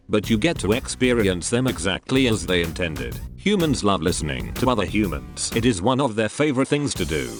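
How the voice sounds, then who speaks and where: A man reading a scripted sponsor message in a deadpan, tongue-in-cheek way. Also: fairly low pitch. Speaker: sponsor announcer. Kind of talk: scripted ad read. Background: music.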